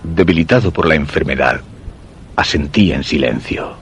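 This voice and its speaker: An actor playing an ailing, suspicious husband who narrates his macabre tale in a Spanish radio drama, measured and literary, with a Castilian accent. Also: a bass voice, heavy background noise. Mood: brooding, uneasy. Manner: measured, literary, brooding delivery.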